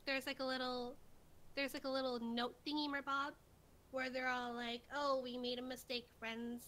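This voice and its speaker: High-voiced giggly young-sounding woman. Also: level tone, normal speed, fairly bright tone. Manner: giggly, informal slangy talk. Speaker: quiz stream contestant. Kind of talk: casual playful livestream banter.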